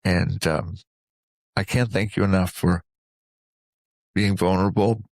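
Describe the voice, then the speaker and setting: A man hosting a reflective interview, speaking earnestly and deliberately in short phrases with pauses between them. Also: sits low, gravelly, no room sound. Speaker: interview host.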